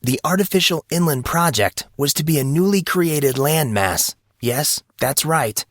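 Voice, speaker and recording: Male narrator as teenage boy newsreader, raised pitch, pompous, smug, theatrical oratory, audio drama, dry recording. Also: lively intonation, unhurried, dry recording. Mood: grandiose. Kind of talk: mock news broadcast, audio story.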